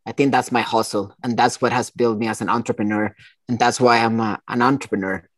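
A man, a guest talking in a relaxed, rambling way. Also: medium pitch, fairly quick, no echo. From Costa Rica. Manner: relaxed, rambling, many fillers and restarts. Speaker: entrepreneur guest. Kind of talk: casual interview.

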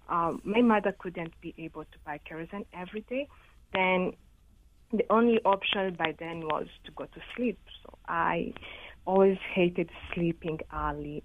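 A young woman, answering interview questions earnestly, with many "uh" and "you know" fillers. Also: fairly low pitch. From Rwanda. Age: 20s. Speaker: young entrepreneur guest. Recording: tinny phone line with faint noise.

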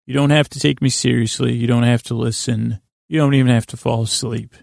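A man hosting a sleep podcast in a meandering monologue, stumbling over words, circling back and gently goofy and self-deprecating. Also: medium pitch, level tone, fairly quick, creaky, no echo, clean studio sound. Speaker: podcast host.

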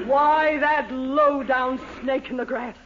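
A woman's voice: high-pitched, fairly even intonation, slow pace, some chatter behind, slight background noise. A woman playing an indignant, hot-tempered mother in a 1940s radio comedy. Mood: outraged. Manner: indignant, loud. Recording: dull old radio recording with audience.

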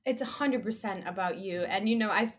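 A woman speaking as an interview guest, relaxed and chatty, with a hoarse voice. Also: medium pitch, fairly quick. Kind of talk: casual interview chat.

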